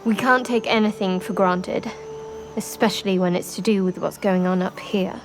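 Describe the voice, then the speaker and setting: A woman playing a guarded but candid adventurer in a fantasy video game, speaking in a knowing, measured, confiding way. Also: mid-range voice, fairly even intonation, close to an everyday voice. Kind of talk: video game dramatic acting. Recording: music behind the voice.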